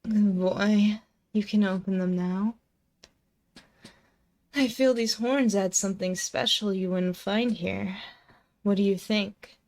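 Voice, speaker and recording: A woman's voice: medium pitch, fairly even intonation, takes it slowly, long pauses, a little mellow. A woman playing a possessive, dominant and seductive female demon in an intimate, close-miked ASMR roleplay, commanding, teasing and doting. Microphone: close binaural microphone.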